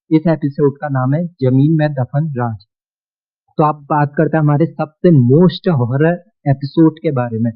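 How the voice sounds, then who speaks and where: Casual male video narrator, Indian accent, lightly high pitch, muffled phone-like audio. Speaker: video host. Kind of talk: casual scripted YouTube countdown narration. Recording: muffled, narrow-band sound.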